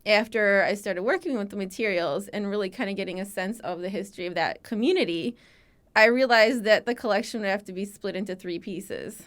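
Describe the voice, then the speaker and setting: A woman's voice: mid-range voice, brisk. A woman in her forties from Chicago, telling her story as an interview guest and voicing the people she quotes. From Chicago, USA. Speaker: guest, archives founder.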